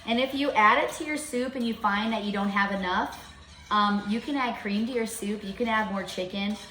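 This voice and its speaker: A woman's voice: medium pitch, normal speed, fairly bright tone, reverberant, slight background noise. Chatty woman in her fifties cooking. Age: 50s. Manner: chatty, step-by-step, cheerful. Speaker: home cooking vlogger. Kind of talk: casual cooking demonstration. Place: home kitchen.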